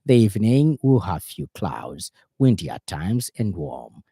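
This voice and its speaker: Zambian guy reading the radio news, deep voice, soft dark tone, real dry studio sound. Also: big pitch swings, unhurried, vocal fry, slightly rough, no echo. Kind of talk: scripted news bulletin reading.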